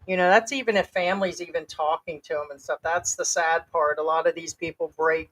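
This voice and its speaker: A woman's voice: low-pitched, fairly even intonation, brisk, a bit bright, rough recording. A woman from the United States co-hosting a casual chat, laughing often and reading a story aloud with comments. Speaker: co-host.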